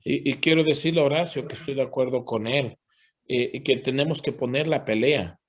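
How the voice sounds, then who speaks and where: A man serves as interpreter for an online parents' meeting in a mid-range voice. He moves quickly between Spanish and English and fills gaps with "este" and "pues". He renders the other speakers' points with warm agreement. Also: mid-range voice. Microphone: video call.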